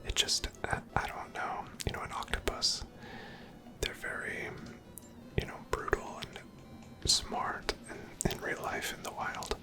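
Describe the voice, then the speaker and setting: A person whispering unhurried ASMR commentary over gameplay in a breathy, unvoiced voice, musing aloud. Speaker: ASMR game streamer.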